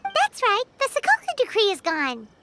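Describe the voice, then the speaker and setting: Tiny female fairy sidekick: childlike, piercingly high, bouncy swooping delivery, anime game. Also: huge pitch swings, normal speed, over loud music, heavily acted. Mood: friendly. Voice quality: squeaky, childlike. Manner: speaks of self in third person, perky. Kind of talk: anime-style video game voice acting.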